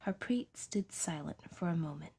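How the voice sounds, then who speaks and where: A woman's voice: lowish voice, lively intonation, normal speed, warm tone, breathy edge. A woman reading scripted fiction as the narrator of an audio drama.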